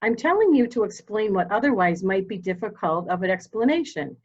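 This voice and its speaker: A steely, dignified elderly mother played by a woman speaks with formal, deliberate gravity in a murder mystery drama. Her middle-pitched voice is commanding and controlled, captured in slightly dull, lo-fi audio. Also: very animated, a little mellow. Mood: grave, resolute.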